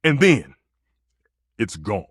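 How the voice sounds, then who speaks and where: A man's voice: mid-range voice, big pitch swings, takes it slowly, breathy edge, no room sound, studio quality, heavily acted. A man voicing a passionate, temperamental head chef in a video game, theatrical and emphatic in delivery. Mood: rhapsodic. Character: temperamental, passionate male chef, a living kitchen stove. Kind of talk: video game character acting.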